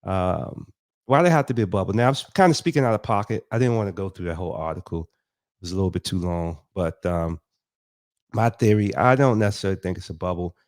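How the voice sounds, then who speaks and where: A man's voice: low voice, fast. A man with a stuffy nose from a cold, talking loosely through his thoughts with frequent ums and restarts as he comments on and reads out a news article. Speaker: host of a crypto commentary show. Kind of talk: casual commentary, reading a news article aloud.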